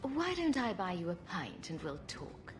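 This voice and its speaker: Lively, sardonic rogue heroine. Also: female voice, lowish voice, measured pace, background noise. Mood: concerned, casual. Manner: wry, casual, teasing. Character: sardonic, companionable adult female rogue hero. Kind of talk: video game voice acting.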